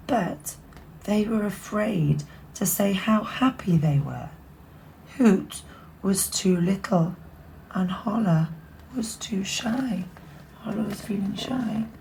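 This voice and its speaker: Unhurried woman reading a bedtime story. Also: fairly low pitch, gravelly, a little hiss or hum, rough recording. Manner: expressive, hushed in places. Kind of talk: children's picture book read-aloud with whispered asides.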